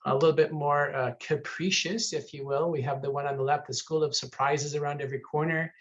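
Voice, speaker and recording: Man, university recruiter on a video call, slightly high voice, chatty and upbeat delivery. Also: steady tone, brisk, highs cut off. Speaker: university recruiter presenting a webinar. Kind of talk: presenting slides on a video call.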